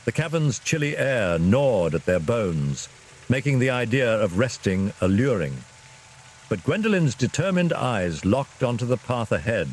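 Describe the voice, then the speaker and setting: A man's voice: medium pitch, sing-song, a little slow, a little hiss or hum. A man narrating a scripted fantasy story in a dramatic storytelling style.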